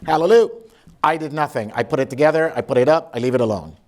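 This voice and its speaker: A man's voice: on the high side, fast. A middle-aged man from Barbados with a Barbadian accent, giving a blunt, sassy conference keynote full of joking asides. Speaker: keynote speaker. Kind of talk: lively conference keynote with audience interaction.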